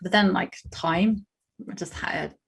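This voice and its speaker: A woman's voice: lowish voice, steady tone, slow, creaky, husky. Chatty British woman streaming chess. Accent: British English. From Britain. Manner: chatty, rambling, many fillers and restarts. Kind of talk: casual livestream chat while playing chess.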